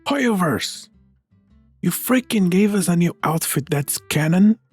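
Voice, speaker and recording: A man with a gravelly, husky voice giving informal game playthrough commentary, reacting and thinking aloud. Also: high voice, lively intonation, a little slow, darkish tone, dry recording. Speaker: game streamer. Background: game music.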